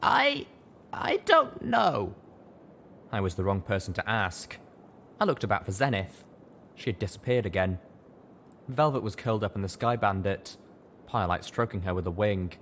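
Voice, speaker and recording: A man's voice: on the low side, brisk, slight background noise. A man reading a first-person story as scripted audiobook narration. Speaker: audiobook reader.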